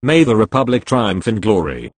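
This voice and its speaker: A man voices a male revolutionary leader making a scripted proclamation in an audio drama. His voice is low and husky, in a dry sound. He speaks solemnly and with measure, as a victor in a coup. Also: sing-song, moderate pace, no echo. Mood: solemn triumph.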